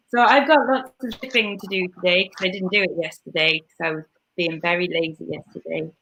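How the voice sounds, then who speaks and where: A woman's voice: fairly low pitch, steady tone, normal speed, creaky, a bit bright, poor audio. A Welsh woman hosting a casual live stream, chatting in a rambling way with lots of fillers and restarts. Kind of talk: casual chat.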